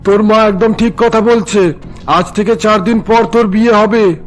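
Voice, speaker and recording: A man's voice: sits high, steady tone, normal speed, soft background music, natural voice. An actor voicing a stern, traditional middle-aged father in a cartoon, commanding and threatening. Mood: firm. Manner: commanding, threatening, brooks no argument.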